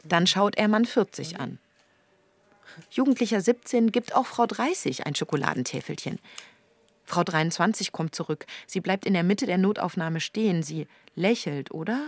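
A detached observer narrating a radio play in terse, scripted sentences. Her voice is low for a woman and husky. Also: animated, moderate pace, no room sound. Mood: observant. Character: detached female observer narrating waiting-room events. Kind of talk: scripted present-tense radio drama narration.

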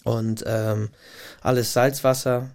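A man from the Puget Sound area with an American accent, a radio guest speaking German as a second language, pausing often to think and restarting phrases. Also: mid-range voice, little pitch movement, vocal fry, a little mellow. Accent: American English. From Puget Sound region, USA. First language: not German. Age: adult, past university. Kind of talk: casual interview talk. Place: radio studio. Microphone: studio microphone.

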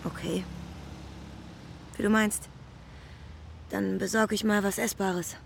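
An actress playing a practical, friendly young squatter in a radio play, with a matter-of-fact manner. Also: female voice, medium pitch, little pitch movement, slow pace, vocal fry, noisy background. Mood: conciliatory. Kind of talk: radio drama acting.

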